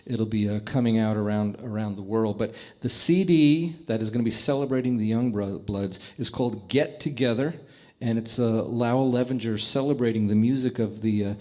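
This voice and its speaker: A man hosting a live radio show, enthusiastic and quick to laugh, talking in halting restarts as he introduces his guest. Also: fairly low pitch, warm tone.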